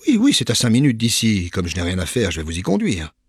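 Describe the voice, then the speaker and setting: A polite, obliging official offers help in a low, husky voice. A male narrator voices him in a dry, close reading. His tone is courteous and plain. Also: huge pitch swings, fast, dry recording, exaggerated voice. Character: obliging middle-aged male treasury official.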